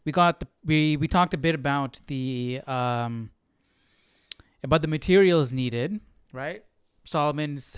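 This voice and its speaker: A man's voice: mid-range voice, clean tone, dry recording, studio quality. A young man hosting a casual livestream study, thinking aloud and repeating phrases as he goes. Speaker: Bible study livestream host. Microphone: close handheld or desk microphone with headphones.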